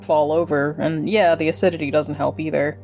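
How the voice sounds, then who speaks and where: A woman with a very low voice chats as a player in a tabletop game. She muses aloud and drops in playful, casual comments. Music plays clearly behind her. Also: steady tone, brisk, a little mellow. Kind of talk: casual chat.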